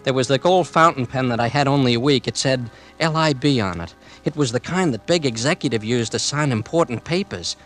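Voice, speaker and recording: A man's voice: mid-range voice, expressive, brisk, few pauses, soft background music, close to an everyday voice. An actor as a sullen young man narrating. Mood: brooding. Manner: quiet, sullen first-person narration. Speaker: narrator and lead. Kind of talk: 1940s radio acting.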